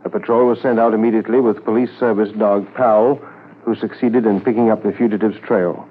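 Formal lowish-voiced 1950s radio narrator man. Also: fairly even intonation, slight background noise, close to an everyday voice. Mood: solemn, factual. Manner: formal, measured. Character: solemn documentary-style police drama narrator. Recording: tinny 1950s radio recording.

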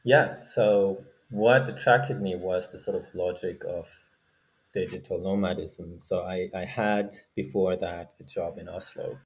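A man from Norway speaking casually as an interview guest, halting as he thinks aloud. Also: low-pitched, steady tone, poor audio. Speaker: guest, startup founder.

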